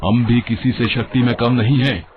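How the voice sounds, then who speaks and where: Casting: male actor as haughty, greedy demon ruler for animated myth, mid-pitched, slightly dull recording. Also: normal speed, a little hiss or hum, natural voice. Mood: boastful. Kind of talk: animated mythological story dialogue.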